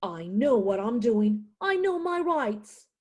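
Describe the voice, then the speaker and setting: A woman's voice: fairly high pitch, very animated, slow pace, a little mellow, full character voice. A woman playing a stubborn, indignant poor widowed mother in a theatrical radio play. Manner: indignant, insistent, dramatic.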